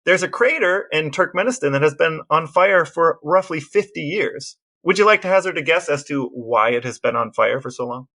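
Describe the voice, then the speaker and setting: A man with a slightly high-pitched voice co-hosts a conversational podcast. He explains details precisely, with a playful manner that keeps it informal. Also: animated, moderate pace, few pauses, pushed and bright. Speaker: podcast co-host.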